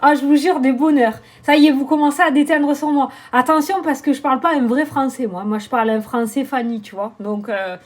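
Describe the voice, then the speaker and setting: A woman's voice: high-pitched, fairly quick, hardly any pauses. A woman, chatting animatedly to camera as a tarot reader, swearing and joking about herself. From southern France. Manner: animated, swearing, laughing, self-mocking. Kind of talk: casual chatty vlog.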